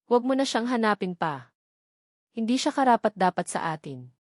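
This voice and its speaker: A woman's voice: a little high, very animated, normal speed, darkish tone, dry recording, clean studio sound. A woman with a Filipino accent voicing a haughty, angry rich mother in a dramatic storybook narration. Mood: scornful. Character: haughty, angry rich mother scorning her son's lover.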